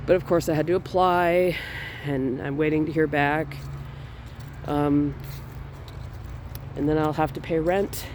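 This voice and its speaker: A man's voice: on the high side, slight background noise. Stuffy-nosed man rambling outdoors. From Minnesota. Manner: relaxed, rambling, with small laughs and restarts. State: stuffy from a cold. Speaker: artist vlogger. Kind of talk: casual walking vlog. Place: outdoors in a cemetery on a fall day.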